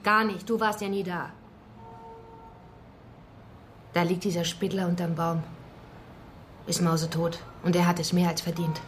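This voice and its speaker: A woman's voice: on the low side, flat delivery, normal speed, a little hiss or hum, close to an everyday voice. An actress playing a combative, scornful and driven woman activist in a German radio drama. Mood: bitter, defiant. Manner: combative, sarcastic.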